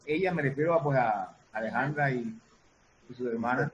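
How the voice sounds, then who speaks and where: Noisy recording of a halting man. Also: mid-range voice, muffled recording. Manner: halting, repeats and reformulates. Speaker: guest, music producer and sound designer. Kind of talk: casual explanation in an interview.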